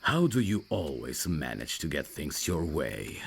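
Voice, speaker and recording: A man playing a reserved, rational vampire messenger in an audio roleplay, dry and guarded, with a gravelly, deep voice. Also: sing-song, measured pace, exaggerated voice. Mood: mildly exasperated. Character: reserved, rational male vampire messenger, over fifty years undead.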